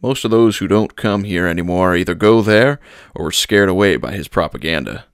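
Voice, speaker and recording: A man's voice: low voice, clean tone, dry recording. A man doing a blunt, plain-spoken churchman. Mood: matter-of-fact. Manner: blunt, dry, unhurried.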